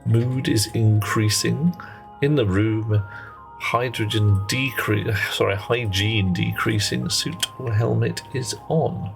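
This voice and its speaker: Male game streamer, unhurried and gravelly, chatty with his viewers, faint music behind. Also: on the low side, rough recording. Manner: rambling, self-correcting, chatty with viewers. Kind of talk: casual live gameplay commentary. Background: faint music and a child playing nearby.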